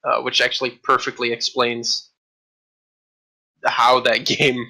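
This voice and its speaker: A man's voice: medium pitch, completely flat delivery, takes it slowly, creaky, sharp tone, poor audio. A man co-hosting a casual podcast about games, rambling with frequent restarts and casual swearing. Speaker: podcast co-host. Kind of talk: casual chat.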